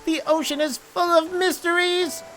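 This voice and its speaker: A woman's voice: very high-pitched, steady tone, moderate pace, fairly bright tone, voice pushed up. A woman voicing an eager, curious lover of sea life in a video game, bubbly and enthusiastic. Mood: excited.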